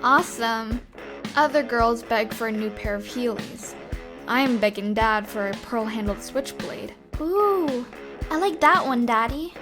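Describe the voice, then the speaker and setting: A woman's voice: on the high side, expressive, measured pace, over music. A woman playing a tough, precocious, foul-mouthed young vigilante girl in a comic dub, blunt and cocky. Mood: proud narration. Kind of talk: comic book dub acting.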